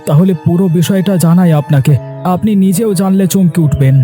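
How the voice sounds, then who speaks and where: A man's voice: sits high, fairly quick, warm tone, natural voice. An actor playing a loyal village man in a cartoon, speaking in an earnest, grave and deferential manner. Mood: urgent, warning. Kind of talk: dubbed cartoon dialogue. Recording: music under the voice.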